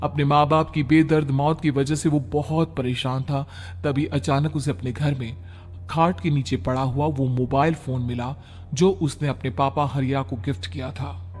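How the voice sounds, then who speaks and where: A man narrating an animated horror story in a dramatic, storytelling manner. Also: medium pitch, moderate pace, soft background music.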